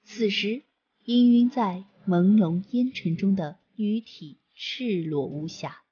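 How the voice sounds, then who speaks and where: Breathy woman narrator for a storybook, slow and expressive. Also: mid-range voice, lo-fi sound. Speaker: audiobook narrator.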